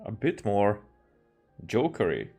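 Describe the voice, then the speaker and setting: A man's voice: lowish voice, huge pitch swings, takes it slowly, gravelly, a little mellow. A man streaming a video game, giving casual off-the-cuff commentary in muttered reactions. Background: game music.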